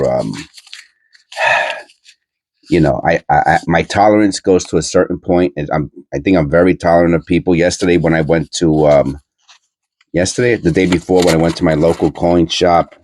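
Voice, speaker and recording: A man's voice: deep voice, fairly even intonation, normal speed, darkish tone. A middle-aged man with a New York accent, nasal from a cold, rambling bluntly with many fillers as host of a coin channel. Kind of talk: rambling casual monologue.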